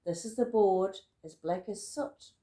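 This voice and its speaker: A woman reading a rhyming picture book aloud in an expressive, deliberate storytelling manner. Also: mid-range voice, reverberant. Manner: dramatic, deliberate storytelling with emphatic words. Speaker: storybook reader.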